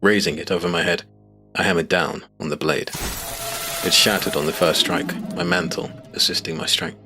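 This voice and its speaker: A man narrates a dramatic first-person story in a low voice. His delivery is scripted, grave and measured, with soft music playing underneath. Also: fairly even intonation.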